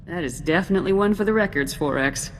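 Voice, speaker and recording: An actress playing a steady, supportive military squad commander in a video game. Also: female voice, fairly low pitch, fast talker, a little hiss or hum, close to an everyday voice. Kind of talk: scripted video game acting. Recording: game cutscene with echo and music.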